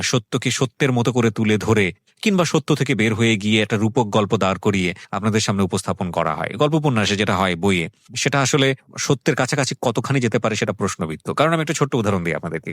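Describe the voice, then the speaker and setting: A man's voice: on the low side, no echo, studio quality. A man hosting a radio show, speaking in a reflective, articulate way with English words mixed in. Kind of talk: radio show introduction and interviewing.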